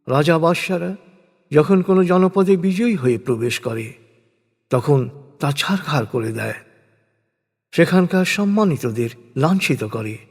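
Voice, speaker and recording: A man reading a scripted scripture translation in a measured, solemn, deliberate manner. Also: on the high side, expressive. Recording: long hall reverb on voice.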